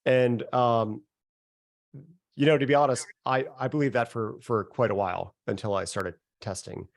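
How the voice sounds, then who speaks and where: A man getting over a cold, with a nasal voice, giving a relaxed, conversational workshop presentation over a video call. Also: mid-range voice, moderate pace, dry recording, studio quality. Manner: relaxed and conversational, chuckles at himself. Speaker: software founder presenting a workshop. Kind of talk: casual live webinar presentation.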